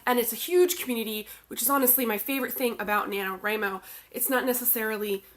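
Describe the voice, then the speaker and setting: A woman's voice: fairly high pitch, quick pace. A young woman author, congested from the flu, chatting casually to camera with lots of fillers. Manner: chatty, bubbly, many "like" and "um" fillers. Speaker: author and video blogger.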